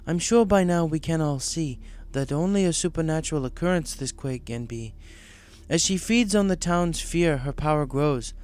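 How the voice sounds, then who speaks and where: A man voicing a wise female zebra mystic who speaks gravely in formal, inverted phrases. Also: a little high, lively intonation, moderate pace, darkish tone, pitched above the performer's own voice, natural voice.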